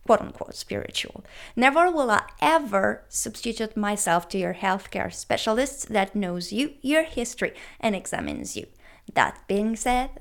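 A woman with a French accent, whose first language is French, hosting her own podcast in an upbeat, playful way and asking her listeners questions. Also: medium pitch. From France. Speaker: podcast host. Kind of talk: scripted solo podcast talk.

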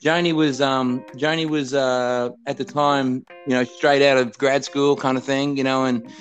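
An Australian man in his fifties talks through his coaching career in an easy, rambling way, backing up and correcting himself as he goes. His voice sits at a middle pitch for a man. Faint music runs underneath. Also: flat delivery, moderate pace, poor audio. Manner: rambling, self-correcting storyteller. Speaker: college basketball head coach. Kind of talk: casual podcast interview.

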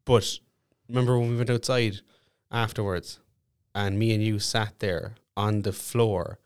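A man of twenty-eight with an Irish accent and a slightly low voice. He co-hosts a podcast in loose, casual banter, dry and quick-witted, with plenty of swearing. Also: little pitch movement, clear, resonant tone. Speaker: podcast co-host. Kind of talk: casual comic chat.

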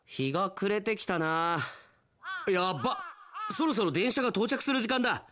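Animated, high-voiced earnest young station worker, acted by a man. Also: moderate pace, noisy background. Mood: friendly. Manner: expressive, exclamatory, theatrical. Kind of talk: radio drama acting.

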